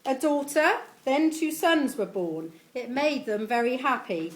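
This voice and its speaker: A woman's voice: high-pitched, lively intonation, measured pace, rough recording. A middle-aged woman reads a homemade rhyming poem aloud to gathered guests. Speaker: family member reading a tribute poem at a party. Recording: live event recording with clear background noise.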